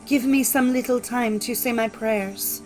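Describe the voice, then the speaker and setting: Woman acting a high-pitched, desperate young wife. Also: fairly even intonation, a little slow, a little mellow, soft background music, natural voice, low-quality recording. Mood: sorrowful pleading. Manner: imploring, dramatic. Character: desperate, pleading young wife in mortal fear.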